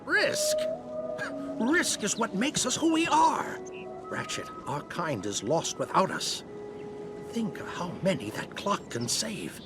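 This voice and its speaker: A man's voice: high-pitched, wildly swooping pitch, slow pace, loud music behind the voice, exaggerated voice. A man playing a proud, driven alien general in a video game, impassioned and persuasive. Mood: fervent.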